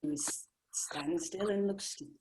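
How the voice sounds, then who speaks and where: A woman's voice: mid-range voice, sing-song, measured pace, vocal fry, warm tone. A woman acts out a glamorous, world-weary young actress of drama, dry and theatrical. Her mid-range voice comes over a slightly noisy mic with clicks. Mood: wry. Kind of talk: amateur table read of TV drama script. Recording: noisy home microphone with clicks.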